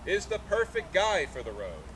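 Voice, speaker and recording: Male reader for live outdoor literary event: declamatory, broken phrasing, very animated pitch, faint background noise. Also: moderate pace. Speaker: artist reading aloud and taking questions. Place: outdoors in a sculpture park. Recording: noisy outdoor recording with vehicle rumble.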